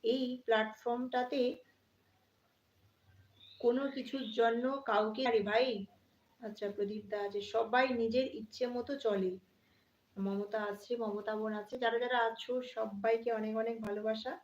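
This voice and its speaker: High-voiced woman in an echoey room. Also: fairly even intonation, moderate pace, long pauses. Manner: conversational, addressing viewers directly, often repeating phrases. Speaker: live stream host. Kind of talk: casual live chat, greeting viewers by name. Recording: dull lo-fi, roomy echo, faint noise.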